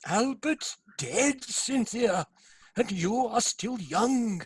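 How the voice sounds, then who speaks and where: A man's voice: extremely high pitch, lively intonation, drawn-out words, slightly rough, no room sound, heavily acted. A man playing a jealous army Major in a stage play reading, clipped and suspicious.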